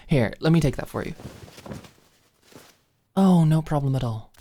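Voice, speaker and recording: A woman's voice: low voice, lively intonation, measured pace, warm tone, slight background noise. A woman playing an affectionate, fussing and coaxing ex-manager girlfriend in an intimate ASMR roleplay. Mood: doting, fussing. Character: doting, eager, dominant ex-manager girlfriend at home.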